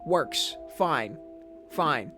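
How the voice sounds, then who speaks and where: A chatty woman streamer talks and laughs as she reads aloud, breaking off her own sentences. Her pitch swings widely. Game music plays steadily behind her voice. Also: lively intonation, drawn-out words. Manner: chatty, jokey, self-interrupting, laughs often. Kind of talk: casual live-stream chat and manga reading. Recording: background music under voice.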